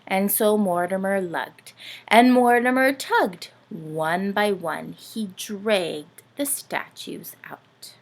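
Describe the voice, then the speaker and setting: A woman reading a picture book aloud for young children in an expressive, dramatic storytelling style. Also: mid-range voice, slow pace, gravelly, a little hiss or hum.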